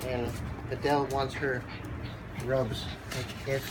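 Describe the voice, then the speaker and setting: Slow, congested voice with background noise. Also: medium pitch, flat delivery. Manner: halting, lots of fillers, chats to the dogs; slow, laid-back. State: congested from a cold. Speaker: channel host unboxing a computer. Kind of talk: casual unboxing vlog.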